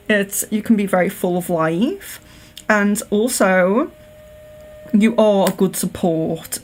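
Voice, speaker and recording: An English woman from near Liverpool with a slight Liverpool accent, reading palms on a livestream in a careful, clear telephone voice with frequent ums and restarts. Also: mid-range voice. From England. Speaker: psychic livestream host.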